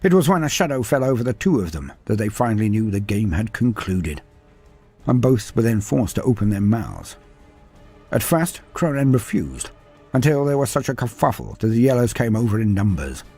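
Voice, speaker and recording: A man narrating a scripted story in a dramatic, animated way. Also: lowish voice, normal speed, breathy edge, faint music behind.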